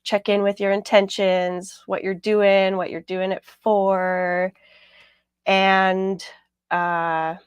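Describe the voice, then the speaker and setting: A woman with a raspy voice hosting a casual radio talk show, rambling a little with fillers and repeated words. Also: mid-range voice, flat delivery, slow pace, dry recording.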